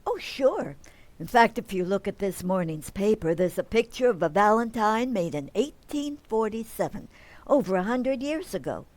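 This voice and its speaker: A woman playing a sensible, gently chiding mother in an old-time radio family comedy. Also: medium pitch, animated, normal speed, no room sound, natural voice. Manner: gently reproving.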